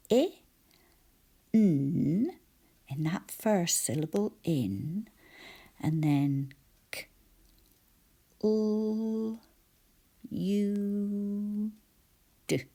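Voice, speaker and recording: Slow, whispery woman teaching spelling. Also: mid-range voice, vocal fry. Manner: slow, soft-spoken, sounds out each letter.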